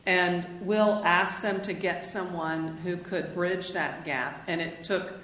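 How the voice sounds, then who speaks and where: A woman's voice: on the low side, fairly even intonation, slow. A woman on city staff explaining procedure firmly at a council meeting. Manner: firm, explanatory, says she has a loud voice. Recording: echoey meeting room.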